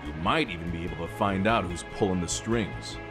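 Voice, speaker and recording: A man's voice: deep voice, a little fast, music in the background, natural voice. A man playing a cocky, teasing streetwise character in a video game dub, with a slangy, swaggering delivery. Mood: sly, persuasive.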